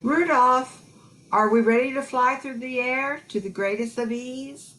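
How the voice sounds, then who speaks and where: Radio play Santa's wife, female performer: organizing, obliging, higher pitch, unhurried, slight background noise. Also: sharp tone, rough recording. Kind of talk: amateur radio play acting. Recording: faint background noise, clicks.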